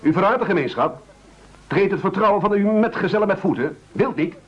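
An actor in a radio play playing a pompous, scheming leader who speechifies in formal, flowery phrases. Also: male voice, sits high, fairly quick, slightly rough. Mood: reproachful. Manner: oratorical, grandiloquent, formal. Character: pompous, scheming, silver-tongued male leader of castaways. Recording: dull, lo-fi radio play recording.

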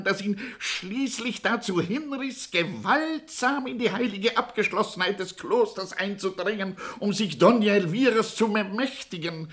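An actor playing an earnest, anxious servant of a noble lady in a classic comedy radio play, pleading and declamatory in his delivery. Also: high-pitched.

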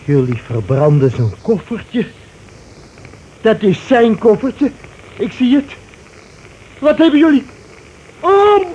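Radio drama: distraught brother, halting and agitated, soft dark tone on a faintly hissy old recording. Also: male voice, high voice, big pitch swings, lo-fi sound, heavily acted. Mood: accusing, agitated. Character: shaken, grieving brother growing accusatory. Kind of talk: radio play acting.

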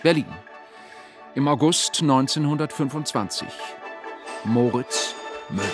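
A man's voice: medium pitch, unhurried, loud music behind the voice, close to an everyday voice. An actor playing a dramatic male period chronicler, narrating a radio play in a declamatory way.

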